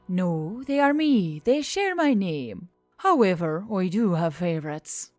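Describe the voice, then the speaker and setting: Woman voicing a calm, measured gorgon pilot. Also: fairly low pitch, dry recording, soft background music. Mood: calm, gentle. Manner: measured, quiet, formal phrasing without contractions. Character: calm, soft-spoken female gorgon pilot with snake hair.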